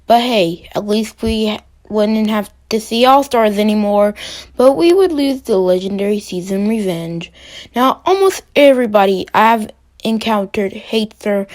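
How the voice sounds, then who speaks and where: A woman's voice: mid-range voice, a little mellow. A woman hosting a fan ranking video, giving casual, emphatic, opinionated commentary in an informal way.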